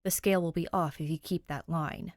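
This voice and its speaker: A woman's voice: low-pitched, steady tone, brisk, dry recording, studio quality, close to an everyday voice. A woman voicing a formal, condescending teenage boy with clipped speech in an audio story. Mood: curt.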